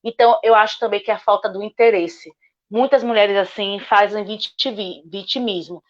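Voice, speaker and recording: A woman's voice: mid-range voice, steady tone, normal speed. A woman with a Bahian accent answers a panel question with earnest conviction, circling back to her main point. Her voice sits in a middle range. The sound is dull and lo-fi, like audio from an online call. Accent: Bahian Portuguese. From Salvador, Bahia, Brazil. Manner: earnest, argumentative, repeats "justamente". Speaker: guest speaker, lawyer.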